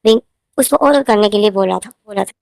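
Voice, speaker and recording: Fast-talking woman with a sore throat. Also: medium pitch, creaky, darkish tone, dry recording, studio quality. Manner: rambling, repeats names and phrases, addresses viewers as guys. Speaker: fanfiction author and narrator. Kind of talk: casual spoken retelling of her own story.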